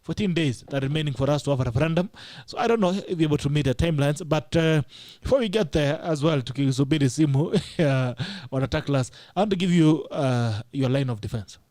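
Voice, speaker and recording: A Kenyan man hosts a studio talk show with a brisk, rapid delivery and a slightly raised pitch. He switches between English and Swahili and laughs easily. The sound is close and dry. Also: darkish tone, no room sound. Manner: brisk, laughs often, reads out call-in number.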